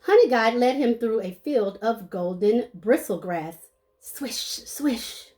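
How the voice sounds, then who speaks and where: A woman with a fairly high voice reads a children's picture book aloud. Her pitch moves in big, lively swings and her pace is very slow. She gives the sound words dramatic weight and adds short asides to young listeners. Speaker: storybook reader.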